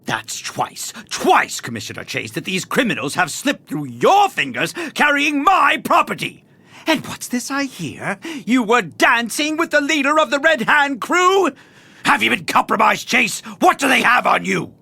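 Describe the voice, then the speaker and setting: A pompous, irascible millionaire in a noir audio drama. The voice is bright and forceful with a gravelly creak, and it swings widely in pitch as he scolds in a theatrical way. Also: huge pitch swings, a little slow, no echo, full character voice. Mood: outraged.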